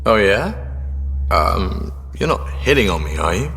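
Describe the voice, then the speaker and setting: A man's voice: low-pitched, very animated, a little slow, vocal fry, fairly bright tone, long reverb tail, exaggerated voice. An actor voicing a cocky, wisecracking, restless ship's crewman in a video game, informal and sardonic. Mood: amused, wary. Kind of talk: video game dialogue acting.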